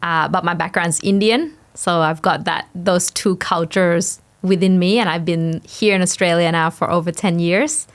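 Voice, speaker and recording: A woman's voice: fairly low pitch, steady tone, moderate pace, hardly any pauses. Candid woman guest from Thailand. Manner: candid, informal. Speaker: podcast guest, business founder. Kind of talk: conversational interview answers. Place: studio.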